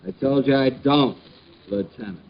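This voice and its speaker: Actor as an indignant crook, muffled sound. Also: male voice, mid-range voice, normal speed, natural voice. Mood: indignant, defensive. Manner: defensive, protesting. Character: indignant, slippery male cafe-owner crook. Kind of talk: 1940s radio villain acting. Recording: muffled old radio recording.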